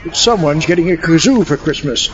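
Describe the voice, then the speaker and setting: A man playing a fussy, excitable mad scientist in a comedic audio drama, wordy and indignant. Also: a little high, a little fast. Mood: indignant, pompous. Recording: dull lo-fi audio.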